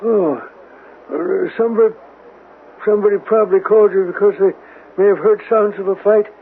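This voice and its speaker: An actor playing a nervous, polite uncle who is eager to reassure, in a radio drama. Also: male voice, sits high, fairly even intonation, fairly quick. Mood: nervous, obliging. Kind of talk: 1970s radio drama acting. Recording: tinny band-limited old radio recording, clear hiss.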